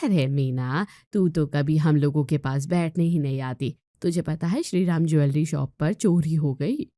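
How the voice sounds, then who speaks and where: A gossipy middle-aged neighbour woman from a village-style tale, put on by a woman narrator. She is nosy and critical, needling in a fairly low, mellow voice. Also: a little slow, no room sound, clean studio sound.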